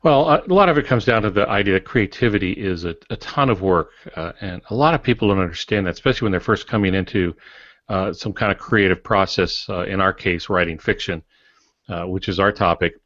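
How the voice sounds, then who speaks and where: Male writer on a podcast, low register, reflective and halting conversational delivery, muffled audio. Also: a bit bright. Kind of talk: conversational explanation with anecdotes. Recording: dull, echoey room recording.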